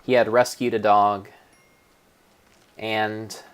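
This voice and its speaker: A man giving a theatrical, mock-solemn dramatic narration in storybook style, with deliberate phrasing. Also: fairly low pitch, little pitch movement, smooth. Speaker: narrator of a game playthrough.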